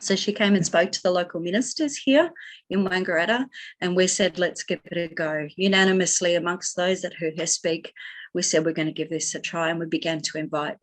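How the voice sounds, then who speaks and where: Australian woman chaplain, slightly low and scratchy, passionate and earnest. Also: steady tone, a little fast. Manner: passionate, earnest storytelling with many fillers. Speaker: minister and chaplain. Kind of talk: online workshop talk.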